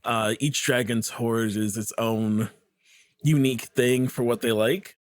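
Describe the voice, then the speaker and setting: Animated, laughing guest on a podcast. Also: male voice, medium pitch, measured pace. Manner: animated, laughing, self-interrupting. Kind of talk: casual chat.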